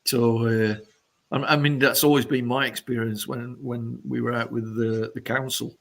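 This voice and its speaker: A middle-aged or older man, a musician chatting casually and haltingly as an interview guest. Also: mid-range voice, little pitch movement, gravelly. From Sheffield, England.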